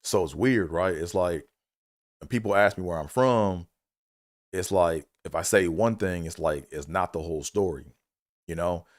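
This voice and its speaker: A middle-aged man from Maryland with a very deep voice holds a casual solo livestream chat. He rambles loosely and leans on fillers and "you know what I'm saying?" The sound is dry and close, with no room around it. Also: normal speed, dry recording. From Maryland, USA. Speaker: comedian and engineer hosting a livestream.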